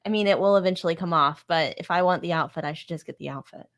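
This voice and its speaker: A woman's voice: lowish voice, fast. Chatty woman co-hosting a podcast. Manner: chatty, laughs easily. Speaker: podcast co-host. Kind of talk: casual chat.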